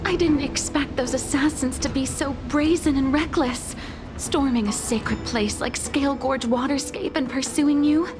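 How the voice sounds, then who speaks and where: A woman's voice: high voice, measured pace, music in the background. A woman voicing a deferential female attendant in a fantasy game, formal and solicitous. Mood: concerned, reassuring.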